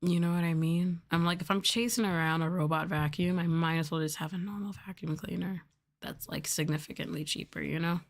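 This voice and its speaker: A woman chatting softly as an ASMR livestream host, sometimes trailing off toward a whisper. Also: fairly low pitch, fairly even intonation, fairly quick, vocal fry. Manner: softly spoken, now and then trailing into whispers. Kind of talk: soft-spoken ASMR livestream chat.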